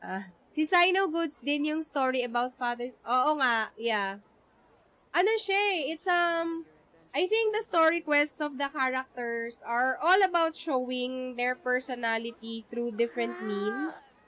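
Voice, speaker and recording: A woman's voice: sits high, unhurried, a bit bright, poor audio. A young woman with a Filipino accent streaming a game, chatting in a rambling way with many fillers. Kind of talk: live gameplay commentary.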